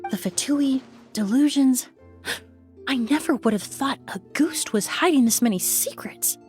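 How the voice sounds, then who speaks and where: A woman's voice: high voice, lively intonation, measured pace, a little mellow, faint music behind. An actress playing an astute, principled young woman investigator in a video game, speaking formally and articulately. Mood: startled realisation.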